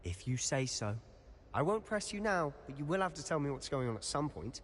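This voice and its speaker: Confident young student, sly, friendly, lively intonation, video game dialogue, long reverb. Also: male voice, a little high, a little fast, a little hiss or hum. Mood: curious, conspiratorial. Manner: casual, self-assured. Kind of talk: video game character acting. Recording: long reverb, faint ambience.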